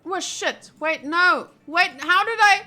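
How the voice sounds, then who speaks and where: A woman's voice: very high-pitched, slow pace, pushed and bright. A woman streaming a game, reading its text aloud and chatting casually with playful asides.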